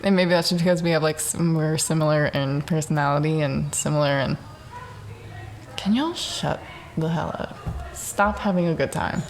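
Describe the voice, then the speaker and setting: A young woman hosting a solo talk show, rambling through self-reflection at home in a dark apartment, self-mocking and jumping from thought to thought. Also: low-pitched, normal speed, slight background noise. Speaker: podcast host.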